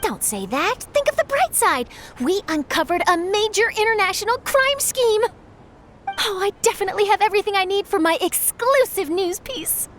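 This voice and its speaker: A woman's voice: very high voice, sing-song, moderate pace, a little hiss or hum, full character voice. An actress voicing an eager young female news reporter who asks lots of questions, in a game cutscene. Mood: bubbly. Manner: bright, inquisitive questioning. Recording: game soundtrack music behind.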